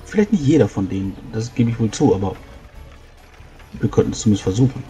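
A man improvising in-character talk as a player in a tabletop game, halting and thinking aloud. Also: fairly low pitch, animated, dark tone, faint music behind. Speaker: tabletop role-playing player.

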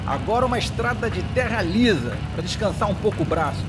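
A man's voice: sits high, big pitch swings, fairly quick. A Brazilian man giving casual, slangy, exclamatory vlog commentary to viewers as he rides a motorcycle outdoors. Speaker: motorcycle travel vlogger. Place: outdoors, riding a motorcycle on rural trails. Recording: loud music over the voice.

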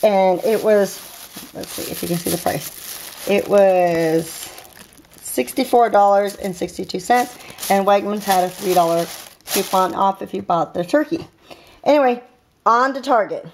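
A woman's voice: mid-range voice, normal speed, darkish tone, a little hiss or hum. A middle-aged woman narrating a grocery haul at home in her kitchen, casual and chatty with lots of ums and uhs, filming on a handheld camera. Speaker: vlogger showing a grocery haul. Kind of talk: casual show-and-tell narration. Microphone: handheld camera filming the counter.

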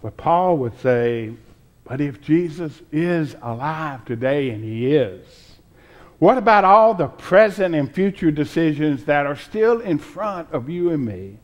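Older guy preaching in church, really slow, kinda higher voice, big pauses. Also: lively intonation. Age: 60s or older. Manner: deliberate, emphatic, with pauses between phrases. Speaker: pastor preaching. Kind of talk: Easter sermon from the pulpit. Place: church sanctuary, at a pulpit with choir behind.

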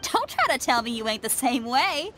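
A woman's voice: high-pitched, big pitch swings, normal speed, a bit bright. An actress playing a brash young female rock musician in a game, talking casually with slang. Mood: eager. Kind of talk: game voice acting. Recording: game audio with music behind.

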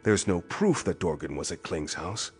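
Male for suspicious police interrogator, pulp audio drama; probing, insistent, fairly low pitch. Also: expressive, moderate pace, faint music behind. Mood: puzzled, suspicious. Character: brisk, suspicious male police detective, hard-nosed interrogator.

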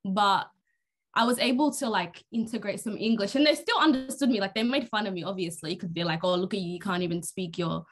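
A woman co-hosting a casual podcast over a video call, telling an animated story with quick asides. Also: mid-range voice. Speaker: podcast co-host. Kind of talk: casual group discussion.

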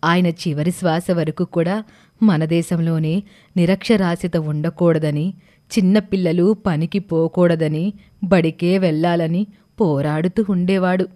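A woman voicing a grave, kindly schoolmaster's widow in a cartoon story, measured and earnest. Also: on the low side, mellow, natural voice.

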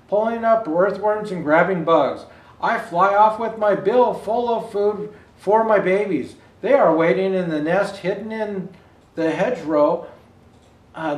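An older man in the role of a Santa storyteller, reading a children's picture book aloud expressively with asides to viewers. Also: high voice. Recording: roomy indoor echo.

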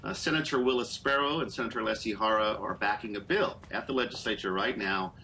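A middle-aged man talks through a webcam from a small indoor room, explaining political stories in a calm, measured way. His voice has a bright edge. A faint background hiss sits under the call audio. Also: fairly low pitch, poor audio. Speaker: political reporter. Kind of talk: live news interview over video call. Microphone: video call webcam.

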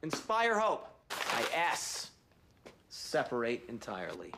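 An actor playing a smug, vain playboy who lectures methodically and pompously, as in a sitcom presentation. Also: male voice, mid-range voice, huge pitch swings, takes it slowly, gravelly, heavy background noise, exaggerated voice. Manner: pompous, methodical, self-satisfied.

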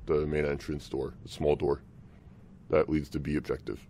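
A man's voice: extremely low pitch, level tone, normal speed, dark tone. A man playing a team shooter, giving casual callouts to teammates. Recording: noisy game voice chat, gameplay sounds. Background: video game sounds.

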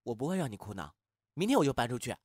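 A flustered young man in an audio drama, played by a male actor, his voice swinging widely in pitch on a dry, close recording. He mutters, sighs and questions himself like someone shyly and impulsively in love. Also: sing-song, rapid-fire, darkish tone, dry recording. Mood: nervous, earnest. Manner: muttering, agitated, sighing, self-questioning. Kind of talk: Chinese audio drama acting.

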